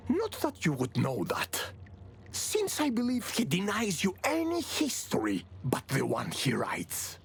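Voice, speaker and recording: A bored, haughty immortal from a video game, drawls with mocking condescension. The voice is slow and creaky, swooping dramatically up and down. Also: mid-range voice, wildly swooping pitch, full character voice. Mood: condescending. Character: bored ageless immortal, sardonic, haughty and theatrical. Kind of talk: video game villain acting.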